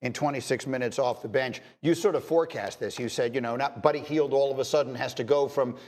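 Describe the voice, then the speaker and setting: A man's voice: mid-range voice, fast, a little mellow. Man citing basketball stats conversationally. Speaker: sports analyst. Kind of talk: sports debate chat.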